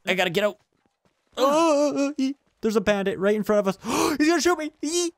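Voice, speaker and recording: A man hosting a gaming video, giving excitable, urgent callouts and casual roleplay commentary as he plays with others. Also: very high voice, moderate pace, dry recording, clean studio sound.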